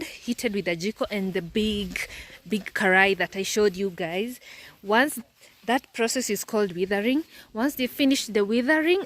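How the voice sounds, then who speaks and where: A woman hosting a casual vlog on a farm tour, chatty, laughing often and talking to her viewers. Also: mid-range voice. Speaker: vlogger host. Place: outdoors on a rural tea farm.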